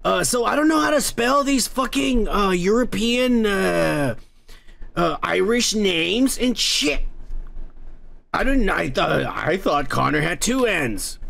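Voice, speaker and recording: A man's voice: sits high, animated, slow, vocal fry, a bit bright. An American man hosting a podcast at home, rambling through viewer comments with lots of swearing and fillers. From United States. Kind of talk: casual profane commentary. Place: dim room at home.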